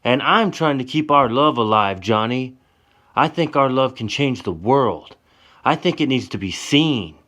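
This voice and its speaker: A man voicing a passionate, defiant young woman in a told story, his voice pushed high and strained with emotion. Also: moderate pace, natural voice. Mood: frustrated. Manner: pleading, emotional outbursts.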